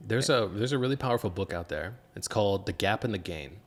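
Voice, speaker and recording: Male interviewer, deep register, earnest and reflective, motivational long-form podcast style. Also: expressive, quick pace. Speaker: podcast host.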